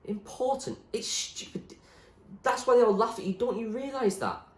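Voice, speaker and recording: A man's voice: very high voice, normal speed, roomy sound, voice pushed up. A man voicing a desperate, frustrated girl in a storybook, pleading urgently and with exasperation. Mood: exasperated. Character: desperate, frustrated girl urging a friend to flee. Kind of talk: acted storybook dialogue.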